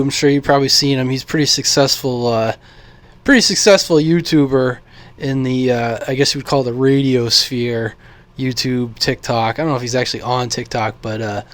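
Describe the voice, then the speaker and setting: A man from the United States talking casually and unscripted as a radio hobby vlogger, halting and thinking aloud. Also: medium pitch, no echo. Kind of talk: casual unscripted vlog talk.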